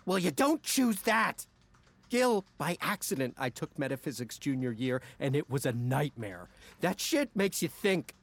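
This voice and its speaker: An actor playing a crass, self-interested office best friend in a comedic audio drama, blunt, slangy and exasperated. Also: male voice, fairly high pitch, very animated, unhurried, heavily acted.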